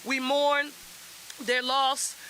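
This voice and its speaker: High, bright woman official, faint hiss. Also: little pitch movement, drawn-out words. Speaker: public official. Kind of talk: formal public statement.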